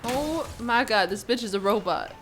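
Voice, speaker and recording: A woman's voice: on the high side, expressive, normal speed, a bit bright. A woman giving casual game commentary, blunt and slangy, with exaggerated reactions. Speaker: gaming video creator. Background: game music.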